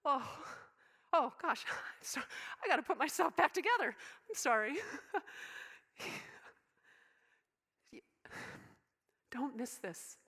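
A young woman playing Mary in a dramatic monologue, speaking emotionally and haltingly, often sinking into soft whispers. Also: high voice, big pitch swings, heavily acted. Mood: tearful, devoted. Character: devoted young woman, biblical Mary, deeply moved.

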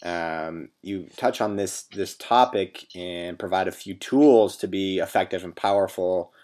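A man with a low voice hosting an interview. He feels his way into each question, circling back with fillers and restarts. His tone stays soft and conversational. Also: unhurried. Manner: hesitant, many fillers and restarts, soft-spoken. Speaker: interview host.

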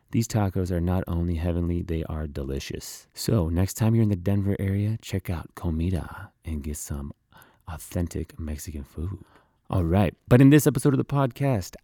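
A man's voice: low-pitched, warm tone, no room sound, clean studio sound. A man hosting a podcast in a casual, conversational style. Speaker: podcast host.

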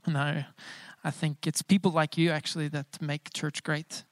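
A man's voice: a little high, little pitch movement, moderate pace, dry recording. A man in his thirties, a pastor giving a casual, joking sermon with many filler sounds. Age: 30s. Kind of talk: informal bilingual sermon.

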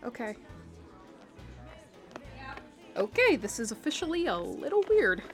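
A woman's voice: on the high side, very animated, fairly quick, soft background music. A young woman acting in an audio drama as a skeptical, grieving daughter who mutters to herself in disbelief. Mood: uneasy.

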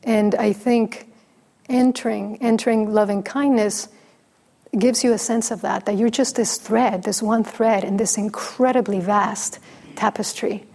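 Female dharma teacher, soft and pausing, a bit of a creaky edge. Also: medium pitch, fairly even intonation. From Mexico. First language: Spanish. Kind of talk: dharma talk with storytelling.

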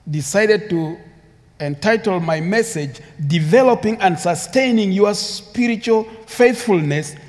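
A man's voice: on the high side, darkish tone. A man from Uganda with a Ugandan English accent, preaching an earnest sermon as a guest speaker, repeating phrases and building emphasis. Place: church sanctuary with long reverb. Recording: reverberant church hall.

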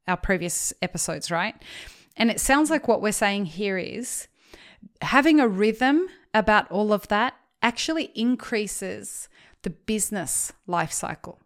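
A woman hosting a podcast, quickly summing up points and encouraging her guest. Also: mid-range voice, expressive. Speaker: podcast host. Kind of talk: casual podcast interview.

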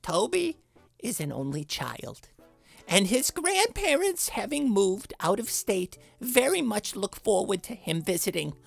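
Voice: medium pitch, very animated, measured pace, vocal fry, full character voice. An actor playing a fussy, eager, corny middle-aged dad in a scripted comedy podcast, speaking in an earnest, theatrical way.

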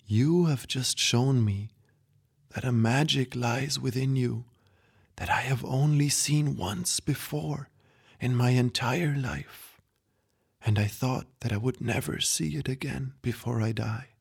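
A man voicing a frail, dying old grandfather who speaks softly, slowly and tenderly in a storybook reading. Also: mid-range voice, vocal fry, husky, voice pushed up, exaggerated voice. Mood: hushed. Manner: soft, hushed, slow and tender.

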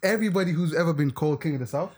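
A man's voice: on the high side, rapid-fire. A man whose first language is Swahili, co-hosting a podcast with playful, teasing banter. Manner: playful, teasing, calls people brother. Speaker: podcast co-host. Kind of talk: casual banter.

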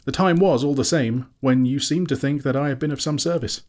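Guy doing a little hobbit for a storybook, squeaky and raised way up, fussy, prim, plucky. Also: a little fast, darkish tone, natural voice. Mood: flustered, indignant. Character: small, flustered, indignant hobbit, polite but plucky. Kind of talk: dramatic storybook reading.

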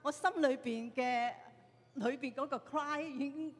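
A woman's voice: high voice, fairly even intonation, a little slow. A woman with a Cantonese accent preaching in a church, often laughing and searching for words. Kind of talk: sermon with interpreter. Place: church hall. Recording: long hall reverb.

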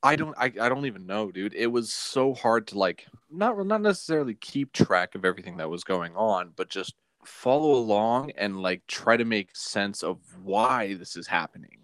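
Low-voiced man, dry close sound. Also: lively intonation, fairly bright tone, dry recording. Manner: halting, repeats phrases. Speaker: podcast co-host. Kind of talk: casual film discussion.

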